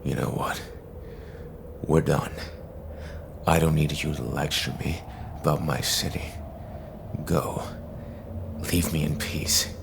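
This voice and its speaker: An actor playing a bitter, stubborn, brooding male vigilante in an audio drama, with a low, gravelly voice and a terse, grim, halting delivery. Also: steady tone, noisy background, exaggerated voice. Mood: bitter, angry.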